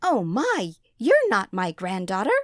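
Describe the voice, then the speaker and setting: A kindly old granny from a fairy-tale puppet show, acted by a woman. Her voice is lively and a little fussy, rising and falling a lot, with a close, dry sound. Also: mid-range voice, measured pace, no echo. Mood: startled.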